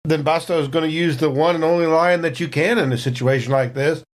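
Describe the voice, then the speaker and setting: A man's voice: a little high, fairly even intonation, fairly quick. A man chatting casually as a player in a tabletop game, hesitant and joking.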